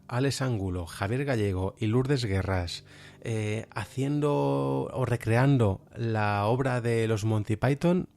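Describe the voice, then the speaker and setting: A man with an accent from Spain reviews things informally as a podcast host. His voice sits fairly low, and he talks in a reflective, slightly hesitant way. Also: gravelly, darkish tone. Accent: Spanish, from Spain. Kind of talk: informal spoken review commentary.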